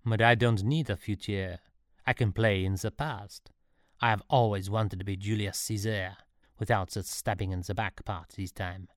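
Guy doing a pompous power-hungry emperor villain, lowish voice, storybook, very dry sound. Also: fairly even intonation, normal speed, dry recording, natural voice. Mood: grand, gloating. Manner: pompous, self-satisfied.

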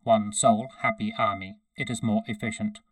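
Storybook cyborg soldier, played by a man: obedient, emotionless, clipped, monotone, lowish synthetic metallic voice. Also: a little slow, no echo, lightly acted. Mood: toneless, obedient. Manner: toneless, clipped, matter-of-fact.

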